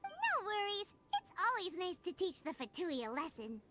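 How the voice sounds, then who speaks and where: Tiny chirpy fairy girl, very high, lively, bubbly, game music behind. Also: normal speed, heavily acted. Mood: perky. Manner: bubbly, exclamatory. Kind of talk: video game voice acting.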